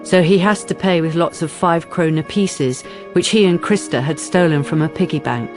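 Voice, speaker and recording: A woman's voice: on the low side, moderate pace, lightly acted. A woman narrating a scripted crime radio drama in a sober storytelling style. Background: prominent music.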